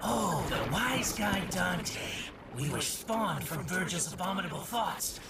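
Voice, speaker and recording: A sardonic demon, high-pitched and drawling. The mocking game creature sounds set back from the mic, with very loud noise around it. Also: male voice, lively intonation, unhurried, vocal fry, recorded from a distance, exaggerated voice. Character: sardonic demon spawned from dark thoughts. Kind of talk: video game cutscene acting.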